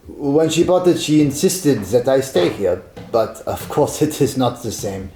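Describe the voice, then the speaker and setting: Brief: man voicing a gracious young French vicomte, heavy accent, mellow voice, roomy echo. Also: medium pitch, a little hiss or hum. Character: proud impoverished French nobleman, young man. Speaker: Vicomte de Verlon. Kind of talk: amateur radio drama.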